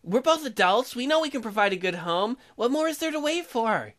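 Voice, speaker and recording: A man putting on a higher-pitched, sweet young wife. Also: animated, a little fast, fairly bright tone. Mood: earnest. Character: young newlywed wife, sweet, teasing colonist, visual novel.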